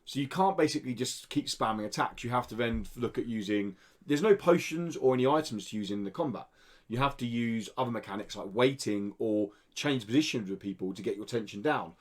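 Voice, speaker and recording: A man's voice: mid-range voice, expressive, fairly quick. Enthusiastic man chatting about games. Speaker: podcast co-host reviewing indie games. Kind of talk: casual game review chat.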